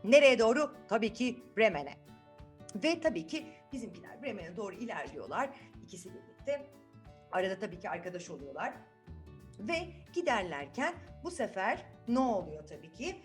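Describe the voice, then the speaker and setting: A woman's voice: medium pitch, big pitch swings, moderate pace, soft background music. A woman telling a children's fairytale in a chatty way, asking rhetorical questions and repeating words for effect. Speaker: children's storyteller.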